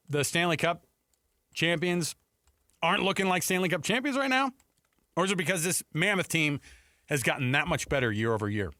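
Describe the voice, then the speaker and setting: A man's voice: a little high, bright, forceful tone, no room sound, studio quality. Casual American male sports radio host. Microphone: close studio radio microphone.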